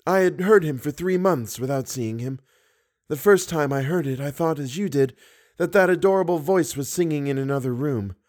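A man reading an audiobook, voicing a frightened young woman opera singer in a raised, hushed, pleading voice. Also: a little high, voice pushed up, lightly acted. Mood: confiding. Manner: fearful, pleading, breathy hush.

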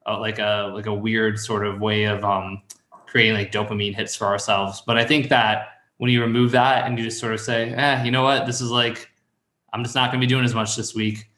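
A man's voice: on the low side, flat delivery, a little fast, bright, forceful tone, reverberant. A man in his thirties giving casual advice in a chat, rambling and thinking aloud as he goes. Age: 30s. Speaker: call-in show host and copywriting mentor.

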